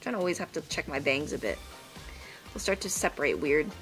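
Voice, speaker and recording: A woman's voice: sits low, big pitch swings, a little fast, faint music behind. An American woman chatting casually while drawing on a livestream, playful and talkative.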